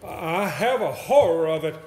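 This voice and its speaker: Man playing a haunted, high-voiced, anxious gentleman. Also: animated, a little slow. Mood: anxious, sombre. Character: haunted, anxious, formal gentleman, reluctant amateur performer. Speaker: amateur stage actor. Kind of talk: live stage play acting. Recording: echoey hall, stage play recording with hiss.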